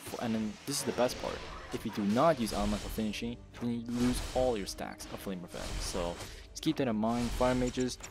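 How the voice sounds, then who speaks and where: A man with a sore throat from being sick, talking through a casual game guide in a candid, rambling way that corrects itself as he goes. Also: fairly low pitch. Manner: candid, rambling, self-correcting, with brief laughs. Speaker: gaming video host. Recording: voice-over with clear background music.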